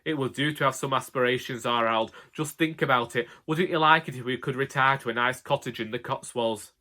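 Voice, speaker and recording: A man's voice: mid-range voice, a little fast, pushed and bright, natural voice. A man voicing a bossy, snobbish, house-proud wife who nags her husband haughtily in a comic audiobook. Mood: stern. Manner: haughty, nagging.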